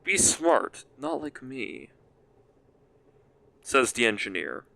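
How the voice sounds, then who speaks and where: A young man with a raspy voice, casually commenting on a factory-building game as he plays and rambling, self-mocking, while he thinks aloud. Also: mid-range voice, sing-song, takes it slowly, bright, forceful tone, slight background noise, low-quality recording. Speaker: gaming commentator.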